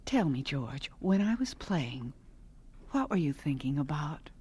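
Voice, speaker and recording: A gentle, encouraging lady in a radio drama. Her voice is very low and breathy, coaxing softly at a slow pace. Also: very animated, heavily acted. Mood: gentle, curious. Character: gentle, encouraging musician wife.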